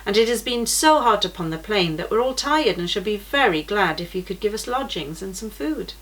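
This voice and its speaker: A woman voicing a steady grown man who guides pilgrims, speaking calmly and reassuringly. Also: medium pitch, moderate pace, poor audio. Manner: calm, reassuring.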